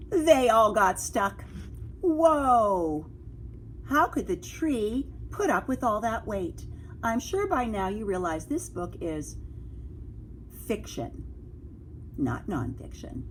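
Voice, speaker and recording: Animated woman reading a storybook aloud. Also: fairly high pitch, slow, pauses often, a little hiss or hum, low-quality recording. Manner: expressive, exclaiming, drawing listeners in with questions. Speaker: teacher reading a picture book to children. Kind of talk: read-aloud storytelling with asides and questions to young listeners.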